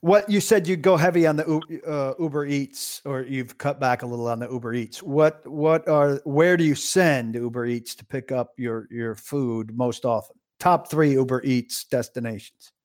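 A man's voice: medium pitch, normal speed, few pauses, a little mellow. A man interviewing a guest, asking questions in a playful, teasing way. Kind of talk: podcast interview.

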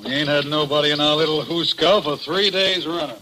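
A man's voice: a little high, fairly quick, close to an everyday voice. A man with an American accent playing a grasping, mocking jailer, slangy and wheedling, in 1940s radio drama style. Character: needling, grasping male jailer, slangy and envious. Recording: noisy old 1940s radio recording.

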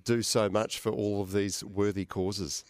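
A man's voice: lowish voice, level tone, a little slow, a little mellow. A man hosting an interview, asking questions in a conversational way with frequent restarts and fillers. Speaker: radio interview host.

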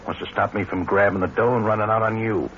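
An actor with an American accent playing a wary small-time blackmailer in a vintage radio crime drama, talking tough and terse. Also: male voice, on the low side, fairly even intonation, quick pace, fairly bright tone, natural voice. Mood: grudgingly interested. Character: suspicious small-time male crook and blackmailer. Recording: muffled, noisy old radio recording.

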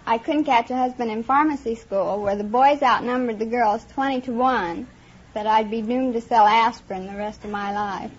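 A woman's voice: on the high side, normal speed. Woman, radio quiz contestant, conversational, dull vintage broadcast, background noise. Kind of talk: conversational interview answers. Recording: muffled old radio broadcast, audience and noise.

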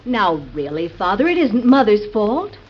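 An actress playing an outspoken, reasoning grown daughter in an old-time radio drama. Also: female voice, mid-range voice, sing-song, moderate pace. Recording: dull old radio recording.

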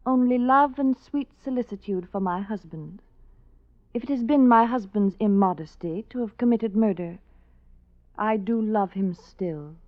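An actress playing a devoted, demure wife on trial, speaking in prim, formal, theatrical lines in an old radio drama. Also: female voice, medium pitch, measured pace, no echo, natural voice. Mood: earnest, devoted. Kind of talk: 1950s radio drama acting. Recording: thin, phone-like old radio recording.

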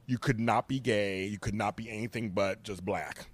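Older man, deep low voice, from Los Angeles, earnest and emphatic, candid chat. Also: steady tone, normal speed, slightly rough. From Los Angeles, USA. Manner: earnest, emphatic, repeats himself. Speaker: guest. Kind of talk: candid conversation.

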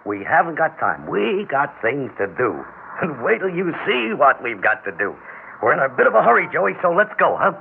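A man playing a chatty, excitable father coaxing his baby son in an old radio drama. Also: fairly high pitch, moderate pace, vocal fry. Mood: playful, chatty. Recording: noisy, narrow-band vintage radio recording.

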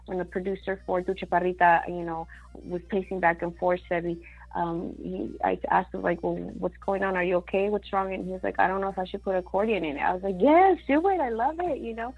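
Woman guest, Mexican-born singer-songwriter; rambling, filler-heavy talk with Spanish words; gravelly voice, dull recording. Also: on the low side, fairly quick, faint music behind. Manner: chatty, many fillers, mixes in Spanish words. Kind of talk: casual interview chat.

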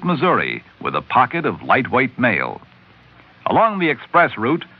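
A man reading scripted historical narration as an old-time radio announcer, in a formal, measured manner. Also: mid-range voice. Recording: dull vintage radio recording.

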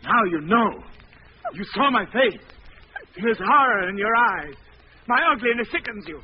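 Casting: tortured hermit hiding in darkness, theatrical and highly animated, muffled vintage radio. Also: male voice, a little slow. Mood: bitter rage. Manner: brooding, anguished, theatrical. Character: tormented, bitter disfigured male recluse hiding in darkness. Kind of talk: 1940s radio melodrama acting. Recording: dull, noisy old radio recording.

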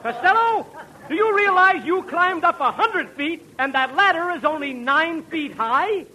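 High-pitched, pompous crackpot professor. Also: male voice, lively intonation, measured pace, sharp tone, heavily acted. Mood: excited, alarmed. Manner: mock-learned, pompous, grandly explaining. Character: pompous crackpot professor, fussy quack expert on dreams. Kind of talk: 1940s radio comedy acting. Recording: noisy vintage radio broadcast.

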